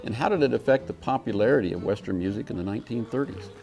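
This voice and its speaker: A man hosting an interview podcast, asking measured, courteous questions. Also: fairly low pitch, creaky, a little mellow, faint music behind.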